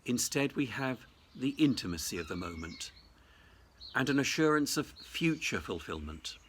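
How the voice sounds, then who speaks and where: A man, a cathedral dean, giving a reflective Easter message in measured, deliberate phrases. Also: mid-range voice, big pitch swings, a bit bright, slight background noise.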